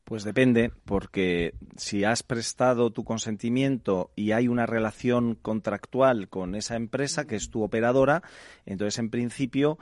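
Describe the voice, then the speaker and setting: A man from talks as a legal expert guest on a radio show. His voice sits at a middle pitch, and he builds each point methodically, like a lecture. The sound is close and dry, with no room echo. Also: a little slow, darkish tone. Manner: lecturing, builds arguments step by step. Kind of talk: explanatory radio discussion.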